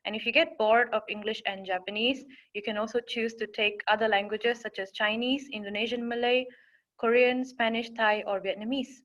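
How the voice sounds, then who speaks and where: A woman's voice: fairly high pitch, steady tone, normal speed, fairly bright tone, dull audio. A young woman from Singapore, an admissions counselor giving an informative webinar presentation with small fillers and restarts.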